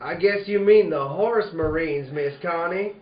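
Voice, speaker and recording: A man's voice: fairly high pitch, unhurried, heavy background noise, lightly acted. A man playing a bold, hearty cowboy hero in an old-time radio western. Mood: wry, easygoing. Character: bold, hearty heroic cowboy Red Ryder. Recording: muffled, echoey room recording.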